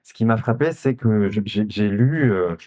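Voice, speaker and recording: A man's voice: on the low side, animated, moderate pace, warm tone. A man, an author at a literary festival talk, explaining a novel and asking questions with frequent hesitations and restarts. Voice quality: hesitant, many fillers and restarts. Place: festival conference room.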